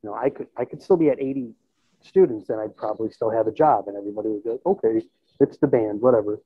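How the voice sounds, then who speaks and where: A man in his thirties speaks in a husky, mid-pitched voice, casually reflecting as an interview guest. He thinks aloud and often checks in with the listener. The sound is dry and thin, like a phone-quality video call through an earbud mic. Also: fast talker, no echo. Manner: conversational, thinking aloud, checks in with "you know" and "right?". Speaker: musician and school band teacher being interviewed. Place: indoors in a plain room on a video call. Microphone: earbud headset. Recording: tinny, band-limited video call audio.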